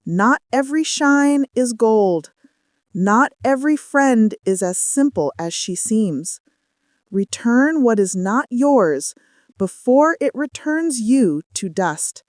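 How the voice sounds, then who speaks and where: A woman voicing a soft, mysterious warning spirit in a folktale, slow and solemn. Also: on the high side, animated, no room sound, studio quality. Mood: ominous calm.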